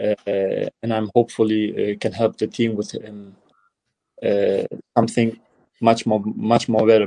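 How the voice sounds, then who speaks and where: Hesitant Albanian-accented man, low flat voice. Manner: hesitant, many fillers and restarts. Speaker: footballer guest. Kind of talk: casual interview answers.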